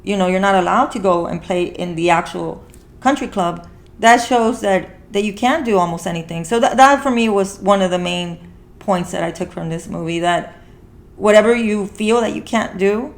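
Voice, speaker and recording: A woman's voice: mid-range voice, moderate pace. Woman podcast host chatting earnestly. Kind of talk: casual conversational movie discussion.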